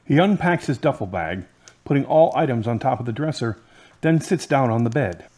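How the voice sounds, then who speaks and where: Matter-of-fact man reading scene directions, mid pitch. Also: animated, moderate pace, a little mellow. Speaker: narrator.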